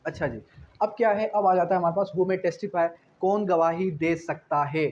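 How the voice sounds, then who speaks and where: A man's voice: high voice, normal speed, darkish tone. A man with a Pakistani accent giving an explanatory law lecture, repeating key phrases and answering his own questions in a didactic way. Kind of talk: explanatory exam-prep lecture, switching between reading legal clauses and explaining. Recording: dull lo-fi audio with faint noise.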